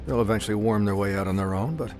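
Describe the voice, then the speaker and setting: A man voicing a matter-of-fact physician in a video game, measured, dry and clinical. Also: fairly low pitch, darkish tone, soft background music, natural voice. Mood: calm, advisory. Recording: faint score under the voice.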